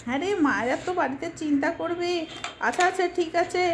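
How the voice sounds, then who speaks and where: A woman's voice: extremely high pitch, quick pace, voice pushed up. A woman voicing a fussing, complaining village mother in a homemade doll-play story. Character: scolding, hard-working village housewife and mother, bustling and nagging. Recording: noisy homemade recording.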